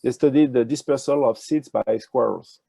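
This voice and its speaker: An older man with a French accent, answering interview questions in a halting way with many fillers, speaking over a video call with a wired earphone mic. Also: medium pitch, creaky, dark tone, breathy edge, no echo. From France. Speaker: ecology professor, interview guest. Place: indoors with a virtual nature backdrop.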